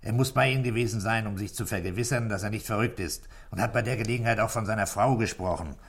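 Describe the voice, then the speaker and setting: A man playing a composed, patient police commissioner in a 1960s German radio play, measured, probing and courteous. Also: on the low side, husky. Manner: measured, matter-of-fact, courteous. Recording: vintage 1960s radio drama recording.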